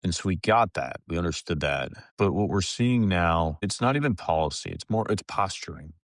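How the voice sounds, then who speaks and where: Deep-voiced man co-hosting a podcast. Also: animated, dry recording. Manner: earnest, analytical, measured. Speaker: podcast co-host, psychiatrist. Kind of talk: casual podcast discussion.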